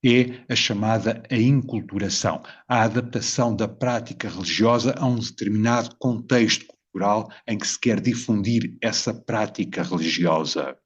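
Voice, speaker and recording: A Portuguese man with a low, husky voice speaks in a measured, reflective way, weighing each idea and putting rhetorical questions to his audience. The recording is dull and somewhat lo-fi. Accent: European Portuguese. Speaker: video presenter. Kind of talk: reflective spoken essay.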